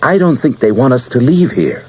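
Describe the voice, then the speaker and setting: A man's voice: medium pitch, very animated, normal speed, slightly rough, no room sound, voice pushed up. A man voicing an earnest, frightened twelve-year-old boy in an audiobook, intent and pleading. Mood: urgent, fearful. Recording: loud music under the voice.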